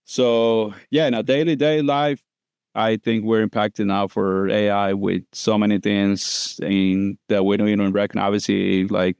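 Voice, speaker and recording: Smooth-voiced man from Costa Rica. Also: on the low side, a little mellow, no echo, studio quality. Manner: explanatory, loose grammar, frequent "like" and "so". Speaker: guest prosthodontist. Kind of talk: casual podcast interview.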